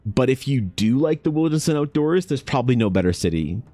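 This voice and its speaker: A man's voice: mid-range voice, lively intonation, fast, warm tone, no echo, soft background music. A young man, talking in a casual, opinionated, rambling way, with swearing and many restarts. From Canada. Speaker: art vlogger. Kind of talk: casual chat.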